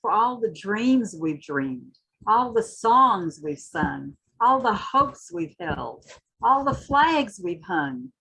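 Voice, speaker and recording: A woman reads literature aloud in a husky voice that moves widely in pitch. Her pace is slow and deliberate, with stretched, emphatic words. The delivery is impassioned and dramatic, suited to poetry recitation. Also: fairly bright tone, low-quality recording. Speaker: reader at a community literary read-in.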